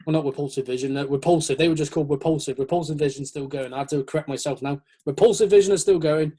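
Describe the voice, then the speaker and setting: Slightly high-voiced man, rambling and jokey. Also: fast, mellow, slightly rough. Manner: rambling, jokey, acts out little quotes. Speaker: host of a metal chat show. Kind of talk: casual chat.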